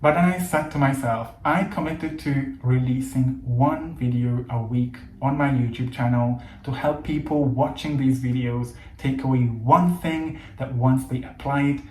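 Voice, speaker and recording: A man's voice: mid-range voice, mellow, slight background noise. A man in his thirties, a native Hungarian speaker, teaching English learners in an earnest, encouraging way. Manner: earnest, encouraging, emphatic lists. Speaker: English coach. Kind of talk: motivational teaching monologue. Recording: echoey room with faint ambience.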